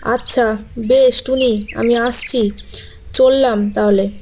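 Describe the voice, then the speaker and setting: Bear auntie for kids' cartoon, woman actor: higher female voice, homely, chatty, gently mocking. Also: fairly even intonation, slow, noisy background. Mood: amused. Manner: folksy, teasing, familiar. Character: motherly female bear aunt, teasing, familiar. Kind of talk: children's cartoon voice acting.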